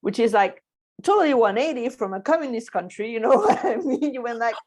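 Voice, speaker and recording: A woman's voice: on the high side, expressive, brisk, a little mellow. A woman hosting a podcast, chatting casually and acting out what people say. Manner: chatty, laughing, mimics people. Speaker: podcast host. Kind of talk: casual interview chat.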